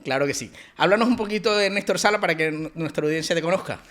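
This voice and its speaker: A man's voice: a little high, fairly bright tone. A man hosting an interview podcast, asking questions and affirming his guest. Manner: quick and affirming. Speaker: interview host.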